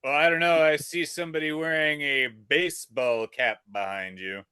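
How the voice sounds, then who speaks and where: A man's voice: a little high, steady tone, moderate pace, sharp tone, clean tone, no room sound. A man co-hosting a casual podcast, blunt and teasing, swearing casually. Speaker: podcast co-host. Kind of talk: casual chat.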